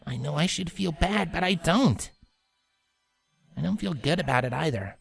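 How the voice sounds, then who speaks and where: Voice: mid-range voice, expressive, moderate pace, creaky, breathy edge, faint music behind, voice pushed up, exaggerated voice. A narrator voicing a brash young pegasus mare with a casual, plain-spoken, tomboyish manner. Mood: troubled.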